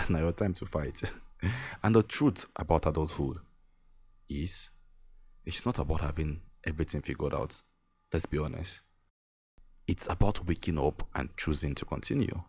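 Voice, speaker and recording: Very deep gravelly Nigerian man. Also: no room sound. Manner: earnest, repetitive, laughs between points. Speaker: podcast host. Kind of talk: motivational monologue.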